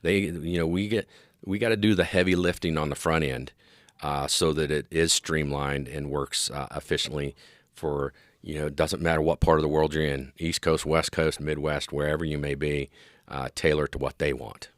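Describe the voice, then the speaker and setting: A man's voice: extremely low pitch, flat delivery, normal speed, no echo, studio quality. A middle-aged American man explaining his field as an interview guest, earnest and emphatic in agreement. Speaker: interview guest, police dispatch specialist and former officer.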